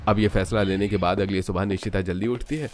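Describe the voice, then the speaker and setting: A man narrating a scripted cartoon family story in a measured storytelling manner. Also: sits low, fairly even intonation, darkish tone, slight background noise.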